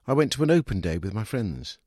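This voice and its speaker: A man's voice: lowish voice, very animated, fairly quick, dry recording, studio quality. A man voicing an earnest, naive, enthusiastic teenage boy in an audiobook short story. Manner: bright-eyed, enthusiastic, guileless.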